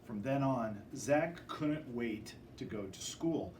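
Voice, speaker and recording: Male picture-book reader, mid-pitched and very animated, theatrical delivery, faint noise behind. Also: slow, echoey room. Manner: expressive, dramatic storytelling. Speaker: storybook reader. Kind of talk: children's picture book read-aloud. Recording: distant microphone with faint background noise.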